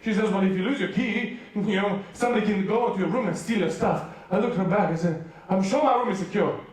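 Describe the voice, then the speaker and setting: A man's voice: high-pitched, fast talker. A man from Serbia with a Serbian accent, preaching a humorous sermon to a youth rally and acting out the dialogue in his stories. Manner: animated comic storytelling, acts out dialogue. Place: large hall with a youth audience. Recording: echoey hall, voice from far off.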